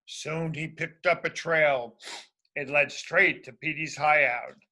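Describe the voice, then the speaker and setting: A man reads a children's story aloud with deliberate emphasis. His voice is bright and fairly high, and he takes his time, speaking expressively for young listeners. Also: fairly even intonation. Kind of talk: read-aloud story for schoolchildren.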